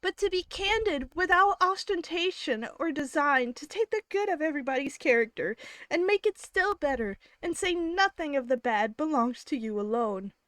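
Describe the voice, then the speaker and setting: A witty young lady with a teasing, quick delivery, as a woman reader puts her on. The voice sits fairly high and sounds dry and close, with no room around it. It has the feel of an old-fashioned novel read aloud. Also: animated, fairly bright tone, dry recording. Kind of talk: reading a classic novel aloud.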